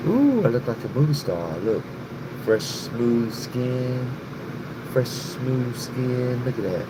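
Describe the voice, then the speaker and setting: A man's voice: medium pitch, big pitch swings, takes it slowly. Profane rambling middle-aged man livestreaming. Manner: profane, rambling, addresses chat by name. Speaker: livestream host. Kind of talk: casual livestream chat with viewers. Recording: noticeable background noise, air conditioning hum.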